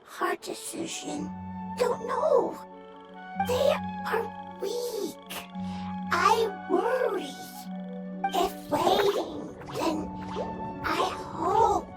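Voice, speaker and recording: A woman voicing a small non-human creature that speaks in halting, broken phrases. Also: very high voice, exaggerated voice. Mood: worried. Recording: roomy with loud music.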